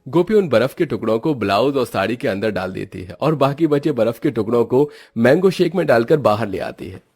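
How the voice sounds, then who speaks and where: A man's voice: medium pitch, animated, fairly quick, darkish tone, slightly rough. A man giving scripted narration as the storyteller of a moral tale.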